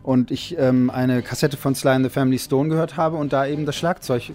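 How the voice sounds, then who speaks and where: A man talking reflectively as an interviewee, halting and correcting himself as he thinks. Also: mid-range voice, little pitch movement, warm tone, soft background music. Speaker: music producer and musician, interviewee.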